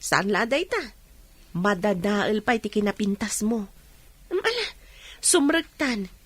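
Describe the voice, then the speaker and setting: A woman's voice: medium pitch, big pitch swings, unhurried, breathy edge, no echo. An actress playing a caring young woman, a loyal best friend with an Ilocano accent, coaxing and chiding expressively in a radio drama. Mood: concerned, coaxing.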